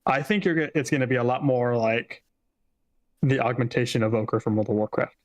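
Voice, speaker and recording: A man's voice: medium pitch, a little fast. A man with a mid-range voice gives casual commentary on games. He sounds opinionated, stressing his points as a co-host on a stream. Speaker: gaming show co-host.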